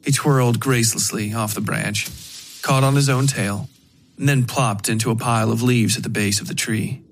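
A man reading dark fiction as a scripted narration, in a measured way with dramatic pauses. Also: medium pitch, fairly even intonation, slight background noise, poor audio. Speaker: narrator of a horror fiction podcast.

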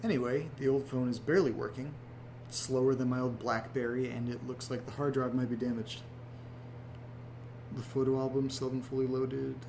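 A man's voice: mid-range voice, steady tone, moderate pace, gravelly, darkish tone, slight background noise, natural voice. A man playing a young guy in a horror audio drama who talks sarcastically to his listeners and rambles with fillers. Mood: sarcastic. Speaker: narrator and protagonist.

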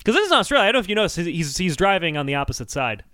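A man's voice: sits high, rapid-fire, dry recording, studio quality. A man co-hosting a comedic podcast in casual chat, joking sarcastically and swearing freely. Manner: sarcastic joking, animated, profane. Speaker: podcast co-host.

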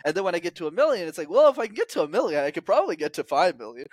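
A young man in his twenties speaking as a communication coach in a casual interview, lively, acting out quoted voices with sudden jumps in pitch. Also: racing, no room sound.

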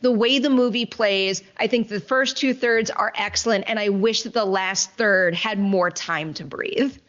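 A woman's voice: medium pitch, a little slow, a bit bright, highs cut off. A woman hosting an entertainment show, chatting casually about movies in an emphatic, enthusiastic way and often repeating herself for stress. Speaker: entertainment news show host. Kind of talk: casual movie review chat.

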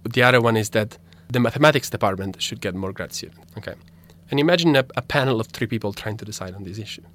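A man's voice: on the low side, quick pace, fairly bright tone. A young man, a native Italian speaker with an Italian accent, explaining his philosophy research as a radio interview guest, thoughtful, with frequent restarts. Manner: thoughtful, many restarts and fillers. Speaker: philosophy graduate student guest.

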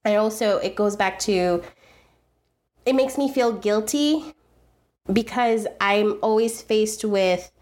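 Woman, American accent, thirties, middle pitch, earnest, reflective, self-correcting, interview guest. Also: takes it slowly, darkish tone. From United States. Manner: earnest and thoughtful, frequent restarts and fillers. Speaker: food video creator, guest.

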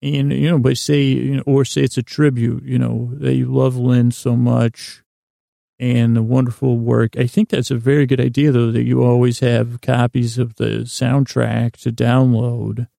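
A man hosting a bedtime podcast in a rambling, whimsical way, halting and repeating himself, close to the mic in a small closet. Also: mid-range voice, little pitch movement, gravelly, warm tone, no room sound. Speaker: podcast host. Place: small closet used for recording. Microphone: close mic in a small recording closet.

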